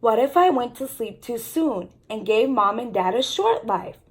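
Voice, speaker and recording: Lady teacher doing a read aloud for students, explains as she goes, kinda high voice. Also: very animated, unhurried, husky. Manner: explanatory, instructive. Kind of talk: read-aloud storytelling with lesson commentary.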